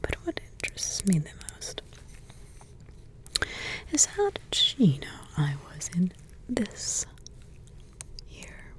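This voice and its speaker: Whispering ancient witch mother, a woman's voice, slow, pondering, humming between thoughts, wry. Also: fairly low pitch, wildly swooping pitch, exaggerated voice. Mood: musing. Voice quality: soft whisper throughout. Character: centuries-old witch mother, musing and wry. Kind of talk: ASMR roleplay.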